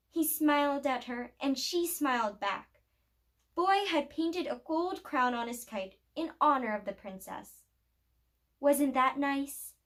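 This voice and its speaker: High-voiced woman reading a children's story slowly. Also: fairly bright tone. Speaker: library storyteller reading aloud. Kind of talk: expressive children's picture book read-aloud.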